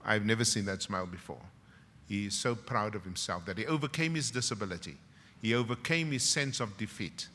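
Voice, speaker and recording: A middle-aged man with a South African English accent delivers a ceremonial graduation speech, telling personal stories with dry humor and sudden drops into hushed emotion. His voice is bright and forceful. It rings out through a large hall with a long reverb. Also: on the low side, a little fast, slight background noise. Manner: dramatic storyteller, swings from loud punchlines to hushed emotion, wry humor. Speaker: university professor speaking at a graduation.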